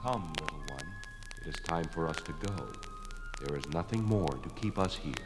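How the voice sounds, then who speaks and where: A reverberant, solemn ancient monster. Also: male voice, animated, normal speed, soft background music. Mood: gentle, resigned. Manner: stately, formal, grave. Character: ancient, solemn, sorrowful alien monster, last of its race. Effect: booming reverb for a telepathic voice. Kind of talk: 1970s audio drama acting. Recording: echoing long reverb.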